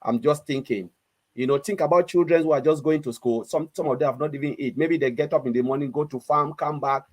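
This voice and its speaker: Male panelist, Cameroonian accent, voice on the higher side, earnest unscripted talk. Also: fast talker. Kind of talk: casual, unscripted discussion.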